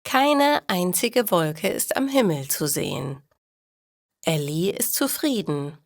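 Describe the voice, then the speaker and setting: Slow-paced woman reading stories for learners. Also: lowish voice, very animated, dry recording, studio quality. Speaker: narrator of German learning stories. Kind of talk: scripted story narration for language learners.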